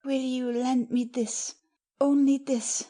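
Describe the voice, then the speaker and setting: Woman playing a high-voiced, anxious young wife. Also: little pitch movement, slow pace, warm tone. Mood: pleading. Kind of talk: dramatic audiobook character reading.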